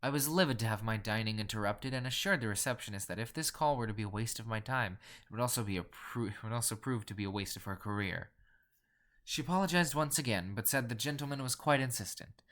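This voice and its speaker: A man's voice: mid-range voice, quick pace, a bit bright, close to an everyday voice. A man playing a pompous, ruthless wealthy collector, telling a dramatic horror story in formal, cool, theatrical phrasing. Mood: haughty, guarded. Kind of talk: dramatic first-person horror narration.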